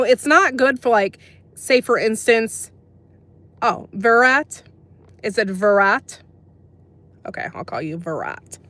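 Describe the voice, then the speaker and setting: A woman's voice: mid-range voice, sharp tone, dry recording. A woman from America hosting a casual livestream, chatting about herbal remedies and answering live chat messages with frequent laughs. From USA. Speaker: livestream host.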